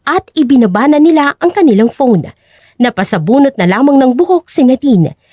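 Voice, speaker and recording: A woman's voice: sits high, lively intonation, normal speed, mellow, no room sound, studio quality. A woman narrating an audio drama in a dramatic scripted storytelling style.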